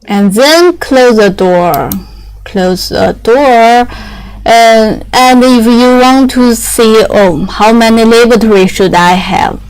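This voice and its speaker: A young woman whose first language is Chinese, explaining factory machinery in halting English with many fillers and restarts. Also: on the high side, clear, resonant tone, poor audio.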